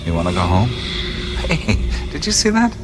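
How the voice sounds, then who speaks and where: An actor playing a wry, uneasy male adventurer in a radio drama, often hushed and wisecracking. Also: fairly low pitch, huge pitch swings, moderate pace, heavy background noise. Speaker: lead adventurer.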